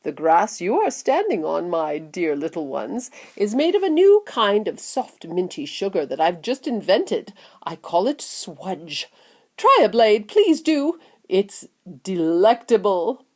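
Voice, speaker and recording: A woman's voice: medium pitch, big pitch swings, full character voice. A woman voicing an exuberant, eccentric male candy factory owner who proudly shows off, stretching words theatrically in a storybook reading. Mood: eager, inviting. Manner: theatrical, drawn-out emphatic words.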